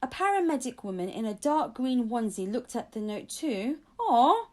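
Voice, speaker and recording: A woman's voice: a little high, expressive, moderate pace, a little mellow. A woman reading a children's audiobook aloud, telling the story expressively in the first person. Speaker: audiobook reader.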